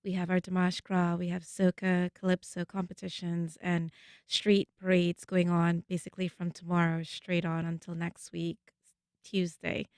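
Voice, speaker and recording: Woman, gravelly edge, dry close mic, radio guest. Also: on the low side, monotone, normal speed, a little mellow, dry recording. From Trinidad. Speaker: guest, food truck owner. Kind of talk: radio interview.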